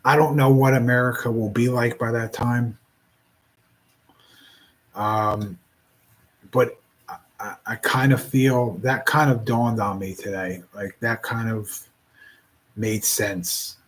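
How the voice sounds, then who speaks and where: A man in his forties speaking in a casual reflective monologue, rambling and halting with many restarts. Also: mid-range voice, steady tone, low-quality recording. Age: 40s.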